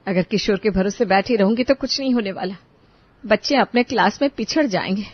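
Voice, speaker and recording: A woman voicing a worried middle-class mother in an animated story, talking in an anxious, fretful way. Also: mid-range voice, brisk, husky, no echo, close to an everyday voice. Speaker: voice actor. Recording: dull, dry studio recording.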